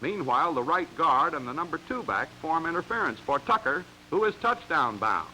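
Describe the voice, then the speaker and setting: A man's voice: a little high, big pitch swings, moderate pace, sharp tone, slight background noise, rough recording. An American man reading scripted narration for a 1940s sports training film in an emphatic, instructional way, on a vintage soundtrack. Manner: crisp, emphatic, instructional delivery.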